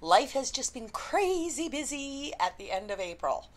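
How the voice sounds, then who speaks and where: A woman, an indie romance author, chatting unscripted to camera with a slightly husky voice and lots of ums. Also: high-pitched, big pitch swings, unhurried. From Canada. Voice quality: slightly husky after illness. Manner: chatty, rambling, frequent ums. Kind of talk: unscripted vlog chat.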